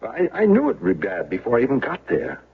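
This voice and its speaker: An actor playing a self-pitying accused man in a radio drama, speaking in a halting, stammering, troubled way. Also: mid-range voice, wildly swooping pitch, vocal fry, slightly rough, exaggerated voice. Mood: anxious, defensive. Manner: halting, stammering, agitated then dejected. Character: defeated, self-pitying accused man, a failed small-town bookseller. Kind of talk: 1970s radio drama acting. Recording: tinny, band-limited old radio recording.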